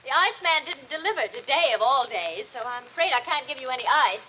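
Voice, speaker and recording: An actress playing a nervous, weary middle-aged wife who speaks in a brittle way in an old radio drama. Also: female voice, sits high, lively intonation, fast. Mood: strained. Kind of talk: 1940s radio acting. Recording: dull old radio recording with faint hiss.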